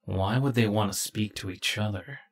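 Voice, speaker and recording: A man's voice: on the low side, normal speed, breathy edge, dry recording. A man voicing a brooding, determined young ninja heir in an anime audio drama. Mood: brooding, resolute.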